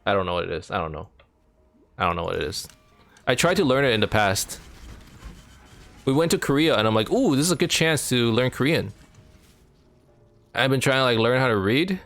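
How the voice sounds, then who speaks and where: A young man from Canada chats with his stream viewers from home, mid-pitched and full of fillers. His voice leaps up when he gets going. Quiet game music plays behind him. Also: a little fast, pauses often. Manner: rambling, filler-heavy, pitch jumps up when animated. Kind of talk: casual chat with live chat while gaming.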